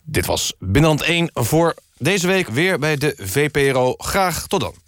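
Deadpan male radio presenter, close dry sound. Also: on the high side, normal speed, no echo. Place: radio studio.

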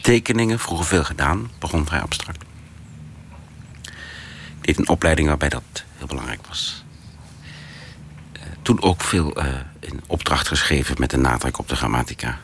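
An actor playing an earnest, self-important artist in a radio comedy, speaking in halting fragments with a deadpan delivery. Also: male voice, very deep voice, gravelly, slight background noise, exaggerated voice. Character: earnest, self-important male artist recounting his oeuvre. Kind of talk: deadpan mock-documentary monologue.

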